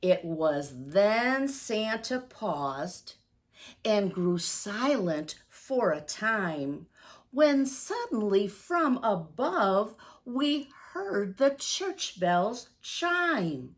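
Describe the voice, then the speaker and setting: A woman reading a rhyming story aloud to young children in an expressive, deliberate storytime manner. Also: mid-range voice.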